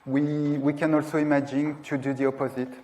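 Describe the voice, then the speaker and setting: A man's voice: on the high side, flat delivery, fairly quick, darkish tone, low-quality recording. A man in his thirties giving a technical presentation in a lecture room, speaking hesitantly and searching for words. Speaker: conference presenter.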